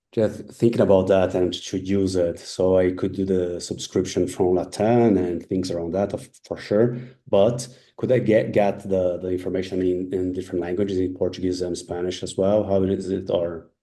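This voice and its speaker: Deep, dark, flat-voiced man. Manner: earnest, halting non-native phrasing. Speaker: podcast host, strategist. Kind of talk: interview questions.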